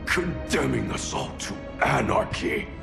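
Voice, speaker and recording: An actor playing a brawny, defiant captive warrior in a video game, grave and challenging. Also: male voice, medium pitch, very animated, measured pace, vocal fry, over music, exaggerated voice. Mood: grim, defiant. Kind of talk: video game cutscene acting.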